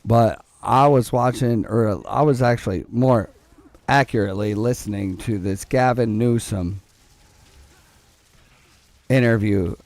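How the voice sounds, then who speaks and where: A young man with a low voice chats his way through a game stream, loose and profane, joking at the screen and at his viewers. He speaks at an easy, unhurried pace. The sound is close and dry, straight into a headset mic. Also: darkish tone, no echo. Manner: rambling, profane, joking, talks to chat and to the game. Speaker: gaming streamer. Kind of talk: casual gameplay commentary.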